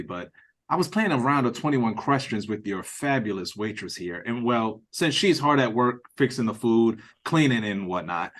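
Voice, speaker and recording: Audio play customer, male actor: cheeky and talkative, very lively intonation, polite to the point of mockery. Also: medium pitch. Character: chatty, cheeky, hungry male restaurant customer. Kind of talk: audio drama read-through. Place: remote reading over a video call. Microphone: video call.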